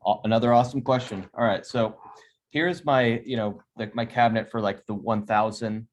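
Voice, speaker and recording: A man's voice: mid-range voice, fairly even intonation, moderate pace. A man in his thirties hosting a casual video call, explaining ideas in an upbeat, chatty way from a home office. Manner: upbeat, chatty, laughs often. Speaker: host. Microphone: over-ear headset on a video call.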